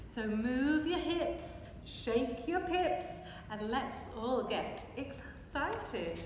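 High-voiced woman reading slowly in echoey hall. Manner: expressive, measured rhythmic delivery while signing. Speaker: children's storyteller. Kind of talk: children's picture book read-aloud. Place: large hard-walled hall with brick and plaster. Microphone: camera a few metres away. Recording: echoey hall, distant microphone, faint noise.

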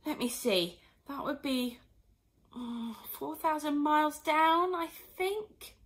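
A woman's voice: sits high, slow pace, pitched above the performer's own voice. A woman voicing a curious, chatty young girl who muses and wonders to herself in a storybook reading. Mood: pondering. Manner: wondering, musing, talking to herself.

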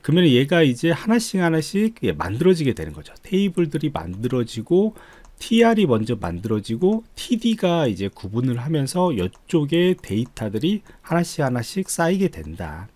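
Man explaining code, lively pitch, dry sound. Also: moderate pace, no echo. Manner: polite, step-by-step explaining. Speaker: IT instructor. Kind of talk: screencast coding tutorial, explanatory lecture. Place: indoors, voice-over on screen recording.

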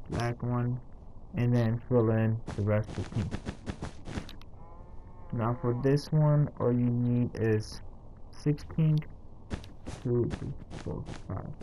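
A man narrating a casual step-by-step game tutorial in a halting, instructional way, counting out items as he goes. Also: mid-range voice, dark tone, slight background noise, poor audio. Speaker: tutorial host.

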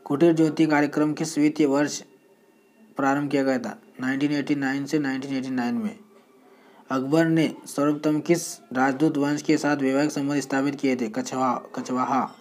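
Man reading quiz questions flatly. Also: mid-range voice, moderate pace. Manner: flat, steady reading with short restarts. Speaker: quiz presenter. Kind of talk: reading general knowledge questions and answers.

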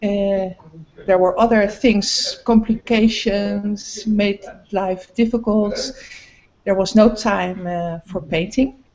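A middle-aged woman painter speaking slowly and hesitantly about her paintings, with many pauses, on a video call. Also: medium pitch, fairly even intonation, a little mellow. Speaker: painter guest. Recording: muffled video call audio.

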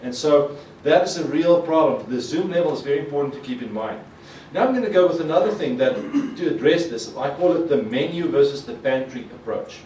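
Middle-aged man, Afrikaans speaker, animated conference talk, roomy hall, voice a little distant. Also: on the high side, brisk, few pauses, a little hiss or hum. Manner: animated, gestures a lot, acts out quoted reactions. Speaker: conference presenter. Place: conference hall with projector screen. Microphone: podium mic. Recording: echoey hall, mic a bit far.

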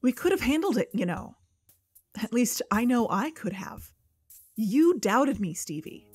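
An actress playing a headstrong amateur investigator in a fictional podcast, conversational and insistent. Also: female voice, on the high side, big pitch swings, a little slow, a little mellow, slightly rough, soft background music, exaggerated voice. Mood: defensive, insistent. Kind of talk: fictional podcast narration and dialogue.

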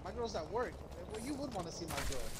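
A Texan man with a high, swooping voice that leaps around as he talks. He banters crudely and swears freely over voice chat. Heavy noise sits under his line. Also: big pitch swings, fast. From Texas. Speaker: gaming teammate on voice chat.

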